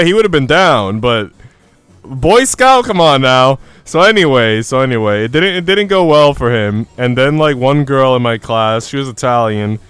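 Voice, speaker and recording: Man, smooth clear voice, casual streamer chat, slangy and sweary, joking, dry close sound, music behind. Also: medium pitch, a bit bright, dry recording. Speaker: live streamer. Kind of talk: casual chat while streaming a visual novel.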